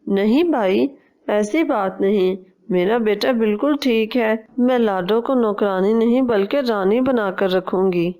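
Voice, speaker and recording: A woman voicing a gentle older mother bird in a cartoon, with a polite, appreciative manner. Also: medium pitch, unhurried, natural voice. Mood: polite, pleading.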